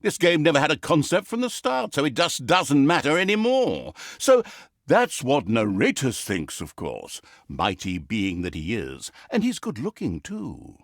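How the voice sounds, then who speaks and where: A man's voice: medium pitch, huge pitch swings, measured pace, no room sound, studio quality, heavily acted. A man playing a wry, pompous storyteller narrator in a fantasy game, with grandiose, sarcastic delivery. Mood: sly, smug. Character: wry, pompous male storyteller narrator mocking villains and heroes. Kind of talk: theatrical comic storybook narration.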